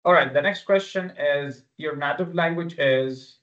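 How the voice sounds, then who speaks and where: A man whose native language is Arabic, teaching an online exam-review webinar with live quiz questions in an informal, halting way. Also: fairly high pitch, a bit bright. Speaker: exam-prep instructor. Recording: dull, lo-fi webinar audio.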